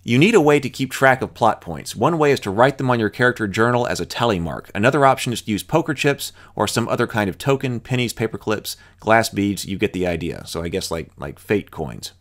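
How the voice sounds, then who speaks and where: A man hosting his channel, reading a tabletop game's rules aloud and thinking out loud about them in asides. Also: on the low side, animated, fairly quick, few pauses. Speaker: channel host. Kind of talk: reading and explaining game rules.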